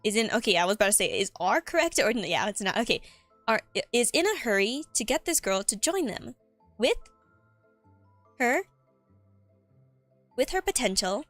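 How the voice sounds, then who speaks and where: A woman livestreamer chatting casually and writing aloud, haltingly repeating words as she goes, her voice a little cracky. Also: on the high side, fairly bright tone, dry recording. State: voice a bit cracky. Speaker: streamer and writer. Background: background music.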